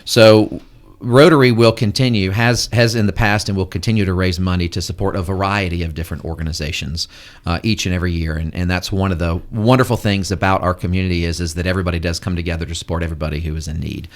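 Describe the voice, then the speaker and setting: A man's voice: deep voice, steady tone, quick pace. A man who heads a school and sits on a club board, explaining things articulately as a guest on a radio interview. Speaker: head of school and Rotary Club board member. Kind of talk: casual radio interview talk. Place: radio studio.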